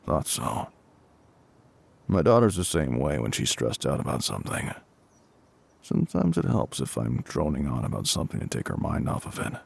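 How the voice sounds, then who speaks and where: A male actor plays a kindly, gruff fire captain in middle age, speaking close and reassuringly in an audio roleplay. The voice is husky and heavily gravelled, with lively rises and falls. He can turn a little awkward and hesitant, yet stays protective. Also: very deep voice, normal speed, full character voice. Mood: kindly, wry. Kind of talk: intimate audio roleplay acting.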